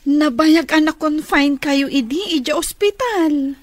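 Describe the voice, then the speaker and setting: A woman's voice: sits high, measured pace, no room sound. A woman acting in an Ilocano radio drama as a nurse who is a surprised, concerned friend. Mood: friendly.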